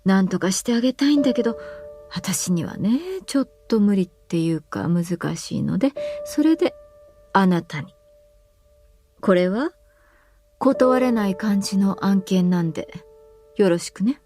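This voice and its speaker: A woman's voice: medium pitch, lively intonation, warm tone, soft background music. A woman voicing a pushy, coaxing branch manager in her fifties for a radio drama. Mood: pushy, hurried. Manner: coaxing, brisk, overriding.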